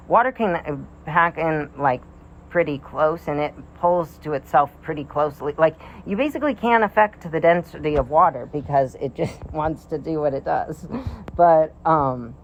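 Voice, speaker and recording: A man's voice: a little high, dull audio, slight background noise. A man in his thirties talking through a science topic in a casual monologue, rambling and hesitant with many fillers and restarts, but wondering and enthusiastic. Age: 30s. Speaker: vlogger.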